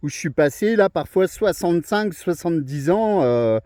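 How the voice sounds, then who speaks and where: A man's voice: fairly high pitch, expressive, normal speed, warm tone, no echo, clean studio sound. A man chatting on amateur radio, talkative and hesitant, with many fillers. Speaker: ham radio operator. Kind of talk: casual radio chat.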